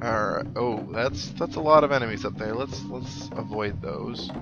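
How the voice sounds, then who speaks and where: A man's voice: lowish voice, moderate pace, muffled recording. A man giving casual video game commentary as a let's play host, rambling and thinking aloud with mild exclamations. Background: video game music.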